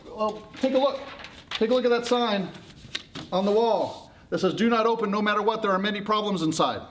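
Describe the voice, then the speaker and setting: An anxious storybook villager, acted out by a man, pitched up high. The delivery is urgent and high-strung, full of pleading and scolding. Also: animated, fast, a little hiss or hum. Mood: urgent warning.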